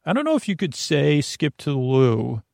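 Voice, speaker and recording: A man with a creaky voice hosting a bedtime podcast, rambling in a silly, meandering way that trails off and circles back. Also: a little high, huge pitch swings, normal speed, darkish tone, no echo, studio quality. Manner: silly, goofy. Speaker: podcast host.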